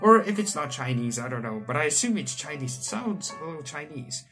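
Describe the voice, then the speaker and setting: A man in his late teens hosting a let's play, reading visual novel text aloud and breaking into animated asides. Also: on the high side, a little fast, faint music behind. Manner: animated asides, exclaiming between read passages. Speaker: gaming channel host. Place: small room indoors at home.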